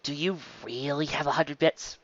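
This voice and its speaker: A woman voicing a brash, excitable young pegasus filly pupil with a casual, grumbly manner. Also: low voice, moderate pace, muffled recording, natural voice. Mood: curious. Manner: casual, grumbly when scolded.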